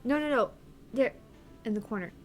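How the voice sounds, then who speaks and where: Animated young woman streamer, high voice. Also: normal speed, soft background music. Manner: animated, laughs often, swears casually. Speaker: game streamer co-host. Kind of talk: casual let's-play chat.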